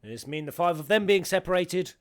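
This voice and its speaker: Excitable man reacting, animated higher-pitched voice. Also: brisk. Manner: excitable, thinking aloud in questions. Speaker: host reacting to video game cutscenes. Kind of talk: casual live reaction commentary.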